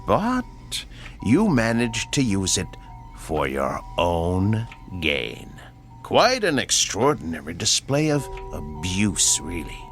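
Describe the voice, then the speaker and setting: A man playing a sinister tormentor in a radio drama, menacingly polite, deliberate and taunting. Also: low-pitched, a bit bright, heavily acted. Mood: accusing, smug. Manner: taunting, deliberate, drops into whispers. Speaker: actor. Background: music.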